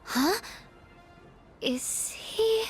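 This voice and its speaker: A shy soft-spoken schoolgirl. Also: very high voice, animated, extremely slow, soft background music, exaggerated voice. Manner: soft, hesitant, polite. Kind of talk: video game voice acting.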